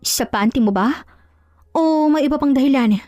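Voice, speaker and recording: A coolly composed, jealous wife from an audio drama, voiced by a woman storyteller. She is sarcastic and feigns innocence in a fairly high voice. The sound is close and dry. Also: moderate pace, a little mellow, no room sound, faint music behind, natural voice.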